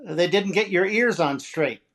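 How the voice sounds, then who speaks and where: A man's voice: sits high, measured pace, fairly bright tone. Man acting a wisecracking radio comedy host. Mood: flippant, wry. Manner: flippant one-liners. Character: wisecracking, heckling comic radio show host.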